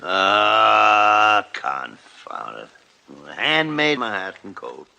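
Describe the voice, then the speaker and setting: Grumbly old doc, low-ish, bright edge, voice jumps around a lot, old radio play. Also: male voice, very animated, extremely slow, full character voice. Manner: gruff, grumbling. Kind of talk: 1950s radio drama acting.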